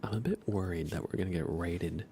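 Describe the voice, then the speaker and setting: Low, creaky-voiced man, hushed ASMR gamer. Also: a little hiss or hum. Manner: hushed, unhurried, musing aloud. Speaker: live streamer. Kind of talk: soft ASMR gaming commentary.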